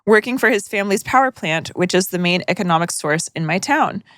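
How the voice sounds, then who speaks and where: A woman's voice: medium pitch, animated, a little fast, a bit bright, dry recording, clean studio sound. A young woman co-hosting a chat podcast, reading a listener's letter aloud with joking, profane asides. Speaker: podcast co-host.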